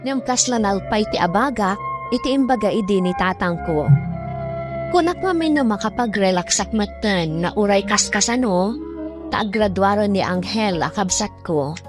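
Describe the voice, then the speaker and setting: A burdened young Filipino woman, played by an actress shares her inner thoughts in a radio drama monologue. Her voice is medium in pitch and weary, with music clearly playing underneath. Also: expressive, normal speed, natural voice.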